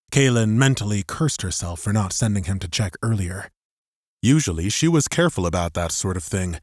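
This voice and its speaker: A man's voice: fairly low pitch, a little mellow, no room sound, clean studio sound. A man reading scripted fiction as an audiobook narrator, in a dramatic storytelling style.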